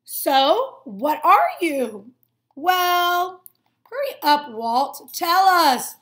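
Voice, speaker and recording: A woman voicing boastful, excitable little cartoon grass blades from a storybook, with emphatic, drawn-out delivery. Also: extremely high pitch, pitched above the performer's own voice, exaggerated voice. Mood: urgent, impatient.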